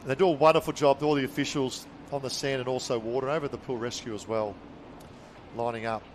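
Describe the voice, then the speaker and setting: A man calling live beach sports as play-by-play commentator, excitable and quick to react to the action. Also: medium pitch, a little hiss or hum. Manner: excitable, calls the action in bursts.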